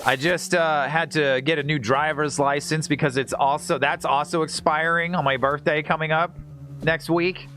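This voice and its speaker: A man's voice: on the high side, steady tone, normal speed, fairly bright tone. A man live streaming a video game, chatting casually with viewers in a rambling, jokey way and reacting aloud as he plays. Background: video game music.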